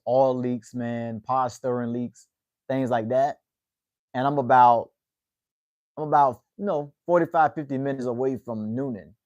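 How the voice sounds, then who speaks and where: A man's voice: medium pitch, a little mellow, no echo, muffled recording. Laid-back twenties man, dry close sound. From United States. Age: 20s. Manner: laid-back, drawls "man" at the ends of phrases. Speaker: livestream host. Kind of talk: casual livestream chat with viewers.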